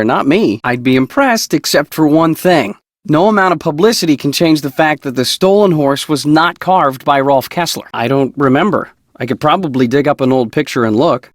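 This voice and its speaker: An actor playing a cocky, laid-back woodcarver in a mystery game, with a flippant, sarcastic, slangy way of talking. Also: male voice, on the high side, dry recording, studio quality, lightly acted. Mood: flippant, dismissive.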